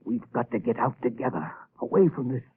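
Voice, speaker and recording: An actor playing a nervous scheming husband in a 1930s radio drama, stammering and jittery, on a tinny old broadcast recording. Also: male voice, mid-range voice, animated, brisk, slightly rough. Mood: pleading. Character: scheming nervous middle-aged husband, panicky.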